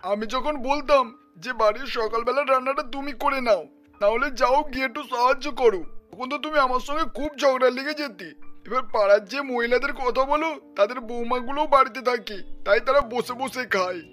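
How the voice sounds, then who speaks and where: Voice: high voice, normal speed, pushed and bright, soft background music. An actor playing a reasonable, mildly reproachful older father-in-law in a cartoon. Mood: chiding, reasoning.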